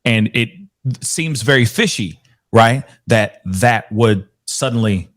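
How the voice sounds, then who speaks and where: A man with a mid-range voice hosts an informal interview. He speaks off the cuff, with halting and tentative phrasing as he works through his thoughts. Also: mid-range voice. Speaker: show host interviewing a guest. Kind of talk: casual unscripted interview talk.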